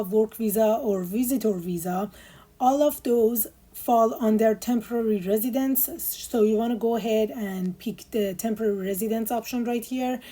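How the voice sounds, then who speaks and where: Mellow-voiced woman walking through a form. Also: on the high side. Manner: patient step-by-step instructions with frequent fillers. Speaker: immigration tutorial host. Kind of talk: screen walkthrough tutorial.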